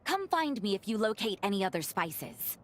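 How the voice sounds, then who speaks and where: A woman voicing a gentle young vampire in a video game cutscene, speaking politely and thoughtfully with formal wording and soft teasing. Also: medium pitch, sing-song, moderate pace. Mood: curious, pondering. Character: gentle revenant woman, a vampire who loves cooking.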